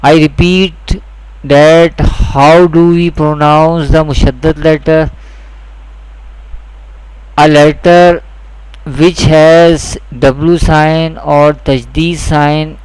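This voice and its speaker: Slow, clear-voiced male teacher. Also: on the high side, steady tone, darkish tone, no room sound, low-quality recording. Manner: deliberate, repeats each point twice. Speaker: Quran teacher. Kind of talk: slow, repetitive instructional lesson.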